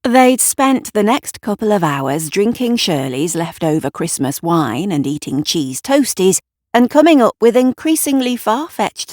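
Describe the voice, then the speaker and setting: A woman narrating an audio drama in a deliberate, wry, conspiratorial storytelling style. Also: fairly low pitch, dry recording, clean studio sound. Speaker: writer and narrator.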